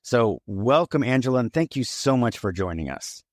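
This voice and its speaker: A man's voice: medium pitch, sing-song, normal speed, no echo, clean studio sound. A man hosting a podcast, welcoming a guest and asking questions in a loose, chatty way. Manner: scripted upbeat intro, then loose chatty questions. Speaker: podcast host.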